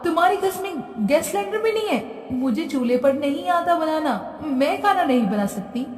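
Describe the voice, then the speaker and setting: Woman playing a whiny rich bride, exasperated, high-pitched, distant from the mic in a reverberant room. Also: lively intonation, normal speed. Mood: petulant. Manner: petulant, exasperated. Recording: roomy echo, distant from mic.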